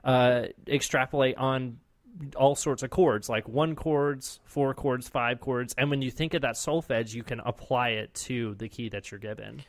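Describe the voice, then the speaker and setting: A man co-hosting a podcast, a music teacher telling lesson stories in relaxed casual chat. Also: mid-range voice, unhurried, dry recording. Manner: relaxed storytelling with fillers.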